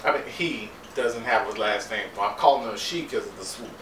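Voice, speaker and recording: A man's voice: lowish voice, a little fast, fairly bright tone. A man giving a casual comedic recap of a TV episode in an incredulous, laughing, profane way. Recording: roomy, distant mic, faint background noise.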